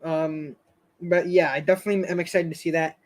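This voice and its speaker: Guy live streaming, kinda high voice, rambly with lots of likes and ums, going a little hoarse. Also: little pitch movement, a little fast. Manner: rambling, hesitant, heavy on fillers and restarts. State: slightly hoarse, losing his voice. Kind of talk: casual live-stream chat with viewers.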